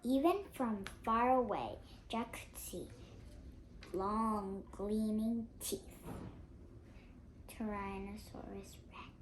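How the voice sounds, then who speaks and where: An eight-year-old child reading a storybook aloud, halting at times and stumbling over words. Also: medium pitch, background noise. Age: child, 8 years old. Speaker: young reader on a read-aloud channel.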